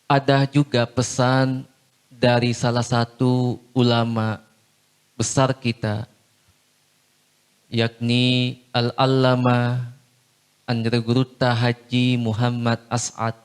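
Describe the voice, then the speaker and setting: A man preaching a short Islamic sermon in a measured, solemn way, phrase by phrase with long pauses. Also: medium pitch, flat delivery, clear, resonant tone.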